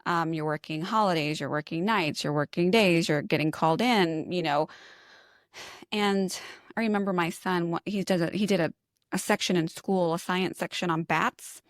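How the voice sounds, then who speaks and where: Female podcast guest from New York, middle-aged, non-native English, lowish voice, frank casual storyteller, very dry recording. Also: moderate pace, no room sound. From New York, United States. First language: a language other than English. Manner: candid, informal, self-deprecating. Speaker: interview guest, lactation consultant.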